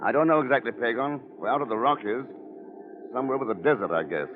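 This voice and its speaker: A man's voice: medium pitch, animated, quick pace, natural voice. A man playing a cool, watchful investigator in a vintage radio drama, speaking with dry, suave understatement. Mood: wry. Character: cool, wry, watchful male investigator hero. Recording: muffled, band-limited old radio recording.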